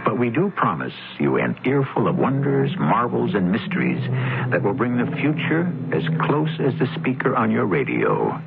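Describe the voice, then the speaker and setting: A man's voice: medium pitch, wildly swooping pitch, normal speed, gravelly, noisy background. An older man hosting a radio mystery anthology in a wry, theatrical way, heard on a tinny old broadcast with music behind. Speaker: host and narrator.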